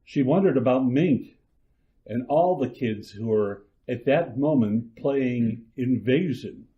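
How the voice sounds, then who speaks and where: A man narrating a radio drama in a slow, measured way. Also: mid-range voice, expressive. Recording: muffled lo-fi recording.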